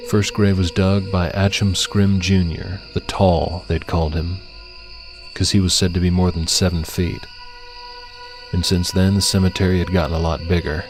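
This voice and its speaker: A man narrates dramatic fiction in a low, level voice with little rise or fall. His delivery is measured and suspenseful, with music clearly behind him. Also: darkish tone, poor audio. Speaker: audiobook narrator.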